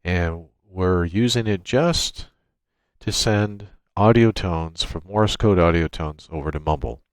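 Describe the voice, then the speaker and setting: Male tech tutorial narrator, deep register, plain practical delivery, unscripted walkthrough, dry room sound. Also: slow pace, no room sound. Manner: matter-of-fact, step-by-step explaining. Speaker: tutorial presenter. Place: indoors at a computer, screen-recording voice-over.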